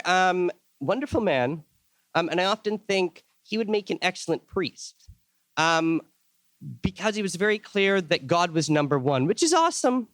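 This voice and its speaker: A man's voice: on the high side, a bit bright, no room sound. A man who grew up in El Salvador, giving an enthusiastic workshop talk to an audience and often repeating words as he thinks. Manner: enthusiastic, repeats words while thinking, acts out quoted voices.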